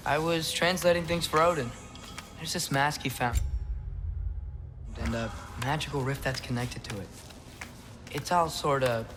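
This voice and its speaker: Earnest, higher-voiced teenage boy adventurer, played by a man. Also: measured pace, long pauses, music in the background, close to an everyday voice. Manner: casual, youthful, hesitant. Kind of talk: naturalistic video game cutscene acting.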